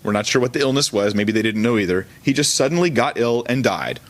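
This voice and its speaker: A man with a mid-pitched voice teaches as a pastor in a church service. He speaks informally and colloquially, correcting himself along the way as he explains things to his listeners. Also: expressive, fast, slight background noise. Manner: conversational and colloquial, with self-corrections.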